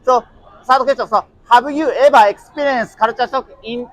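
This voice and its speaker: A Japanese man with a high, light voice and a Japanese accent conducts quick street interviews in English. He asks brief, polite questions and thanks everyone often. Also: low-quality recording. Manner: short polite questions, frequent thank-yous and soft laughs. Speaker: street interviewer and channel host.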